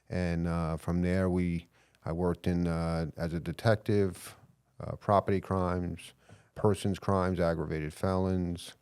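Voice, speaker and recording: Deep-voiced New York police chief. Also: male voice, darkish tone, clear, resonant tone, no echo. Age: middle-aged. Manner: earnest, measured, frequent hesitations. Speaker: police chief, podcast guest. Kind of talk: casual interview.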